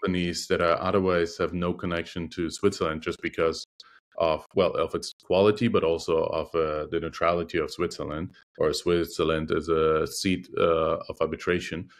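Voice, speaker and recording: A deep-voiced man whose first language is Russian answers interview questions. He speaks in a measured, thoughtful way and often pauses to find the right word. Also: flat delivery. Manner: measured, thoughtful, frequent hesitations. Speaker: interview guest, arbitration lawyer. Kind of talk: conversational interview.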